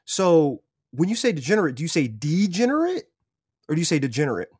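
An easygoing man hosts a podcast in loose, slangy chat and agrees often with "word" and "yeah". His voice sits at an ordinary pitch, and the sound is dry and close. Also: big pitch swings, brisk, a little mellow, husky, dry recording. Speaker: podcast host. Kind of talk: casual chat.

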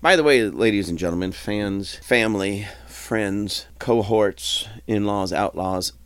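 Stuffy-nosed guy rambling on his podcast, lowish voice, keeps clearing his throat, dry close sound. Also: unhurried, dry recording. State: congested, nasal. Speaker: podcast host. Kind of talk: casual solo podcast talk.

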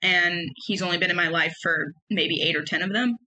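A young woman with a loud, emphatic voice, telling personal stories in a casual solo podcast. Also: fairly low pitch, fairly even intonation, fairly quick, slight background noise, low-quality recording. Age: young adult. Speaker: podcast host.

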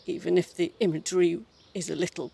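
A woman's voice: fairly low pitch, flat delivery, fast talker, vocal fry, warm tone, husky, full character voice. A woman playing a sardonic, formidable ghost of a mother in her seventies in an audio play, dry and genteel. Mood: dry, teasing. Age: 70s.

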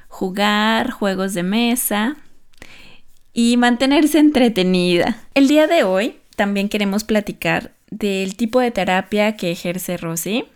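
A woman hosting a podcast, asking a guest questions in a gently inquisitive way. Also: medium pitch, gravelly. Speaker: podcast host. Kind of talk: interview hosting.

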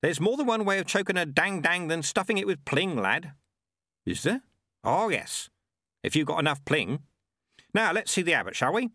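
A man doing a gruff old sweeper monk, pitched high. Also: very animated, moderate pace, bright, forceful tone, dry recording, studio quality, heavily acted. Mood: confiding. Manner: folksy, dropped grammar, mutters.

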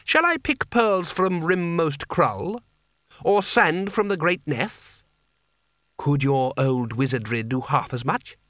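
A man's voice: medium pitch, fairly even intonation, normal speed, few pauses, dry recording, clean studio sound, close to an everyday voice. A man voicing a smug, coldly commanding boy sorcerer in an audiobook, with a hard edge to the voice. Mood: mocking. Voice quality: metallic edge. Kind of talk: audiobook character voice.

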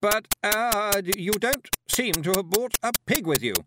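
Man putting on a shrill, trilling lady emcee. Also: huge pitch swings, a little fast, heavy background noise. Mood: flustered. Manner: trilling, hesitant, strained. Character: flustered, trilling lady mistress of ceremonies, forcedly bright.

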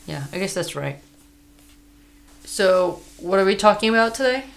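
A podcast co-host chatting casually, easygoing and unhurried, laughing often. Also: mid-range voice, lively intonation, gravelly, fairly bright tone. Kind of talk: casual chat.

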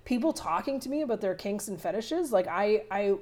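A woman's voice: mid-range voice, animated, darkish tone. A woman who is a podcast guest, talking frankly and casually with a lot of swearing. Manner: frank, heavy swearing, quick laughs. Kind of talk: candid casual chat.

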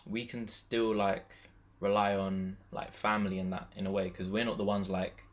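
A man's voice: lowish voice, little pitch movement, moderate pace. A man, talking informally and easily with slang as a podcast co-host. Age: 20s. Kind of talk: casual chat.

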